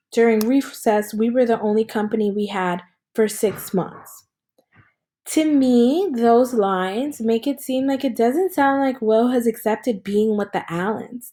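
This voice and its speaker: A woman reading a story aloud as a teacher and thinking out loud, checking in with listeners in an instructional way. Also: a little high. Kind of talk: read-aloud lesson with think-aloud commentary.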